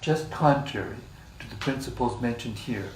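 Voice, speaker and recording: A man leading a scripture class, reading passages in a measured way and joking with the group between them. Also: mid-range voice. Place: room with a live group. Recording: echoey room, distant mic, faint hiss.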